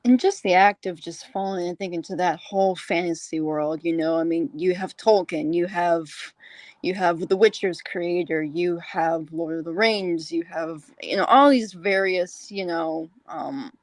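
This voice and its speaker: A woman's voice: fairly low pitch, measured pace, no echo. A woman hosting a casual interview, speaking in a hesitant, rambling way. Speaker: podcast host, interviewer.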